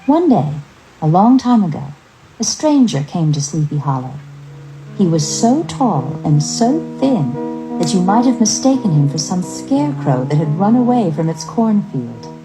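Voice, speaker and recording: A woman reading a scripted story as narrator, with measured, expressive dramatic delivery. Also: lowish voice, music in the background, rough recording.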